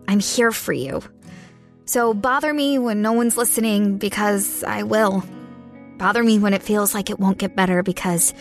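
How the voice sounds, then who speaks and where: Woman narrating over guitar music. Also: medium pitch. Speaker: public service ad narrator. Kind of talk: scripted heartfelt ad narration. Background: plucked guitar music.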